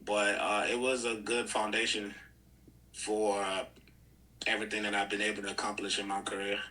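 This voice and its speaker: Nigerian-born guy chatting on a podcast, lowish voice, pretty flat, lots of uh's. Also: normal speed, rough recording. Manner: relaxed storytelling with frequent "uh" fillers. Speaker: guest, pro athlete. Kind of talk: casual interview chat.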